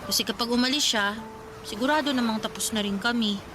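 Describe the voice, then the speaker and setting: A woman's voice: fairly high pitch, fast talker, music in the background, natural voice. A woman playing a sentimental, teasing older girl cousin in a radio romance drama, confiding and dramatic. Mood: wistful, regretful.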